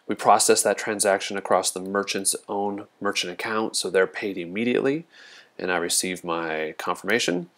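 A man presenting a casual software walkthrough as a product demonstrator, explaining things informally step by step. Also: low-pitched, moderate pace. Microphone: voice-over recorded over a screen demo.

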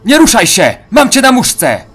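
A man's voice: high voice, huge pitch swings, unhurried, a bit bright, husky, faint music behind, pitched above the performer's own voice, heavily acted. A man voicing a terrified, desperate male squad agent in an audio horror story. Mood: panicked. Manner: stammering, panicked.

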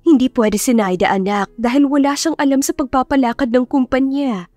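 A woman's voice: high-pitched, a little fast, darkish tone, no room sound, faint music behind, pitched above the performer's own voice, close to an everyday voice. Middle-aged mother voiced by a woman, cold, persuasive, reproachful, audiobook drama with dry sound. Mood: gently persuading. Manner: firm, reproachful, pressing. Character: stern, guilt-tripping middle-aged mother, coldly persuasive. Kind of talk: audiobook character acting.

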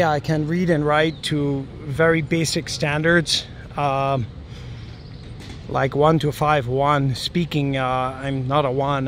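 A man walking and filming as he goes, musing aloud in a rambling way with frequent "right?" tag questions. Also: fairly high pitch. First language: English. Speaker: travel vlogger. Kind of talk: casual walking commentary. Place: outdoors on city streets. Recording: outdoor street noise. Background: traffic and street noise.

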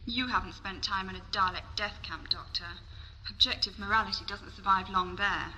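A woman playing a hard-headed, sharp-tongued young space adventurer in a science-fiction audio drama. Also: medium pitch, fairly even intonation, normal speed, a little hiss or hum, low-quality recording. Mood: dry. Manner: blunt, sardonic. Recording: music behind the dialogue.

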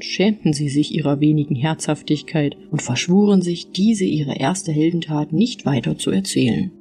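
A woman narrating a fairy tale audiobook in an expressive storytelling style. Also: sits low.

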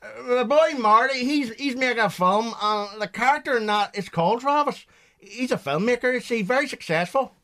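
Man acting a proud old dad, high-pitched voice, gentle, halting, radio play. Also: heavily acted. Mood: tender, proud. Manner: halting, repeats words. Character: proud, gentle elderly father.

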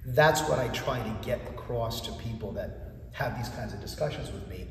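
A man in his fifties, a church chanter whose first language is Greek, answering interview questions with animated stories and acted-out dialogue, recorded in a large church interior from a camera at a distance. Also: medium pitch, moderate pace, a little hiss or hum. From United States. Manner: animated storyteller, acts out dialogue in a raised voice. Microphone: far camera. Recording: echoey church from far off.

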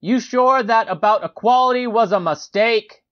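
A man voicing a small, stern, condescending man in a story, with a drawn-out patronizing delivery. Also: extremely high pitch, fairly bright tone, highs cut off, pitched above the performer's own voice.

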